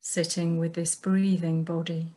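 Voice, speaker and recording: Husky woman leading a guided meditation. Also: lowish voice, little pitch movement. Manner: gentle, unhurried phrasing with long pauses. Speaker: meditation teacher.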